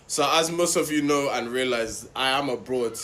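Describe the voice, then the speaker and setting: A man's voice: mid-range voice, moderate pace, a bit bright, a little hiss or hum. Fed-up man listing islands. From United Kingdom. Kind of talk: casual vlog rant.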